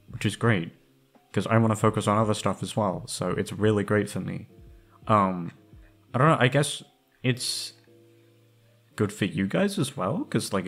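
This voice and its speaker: Low-voiced man rambling casually over music. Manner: self-deprecating, informal, sweary. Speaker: ASMR roleplay creator. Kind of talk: casual rambling chat to listeners. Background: background music.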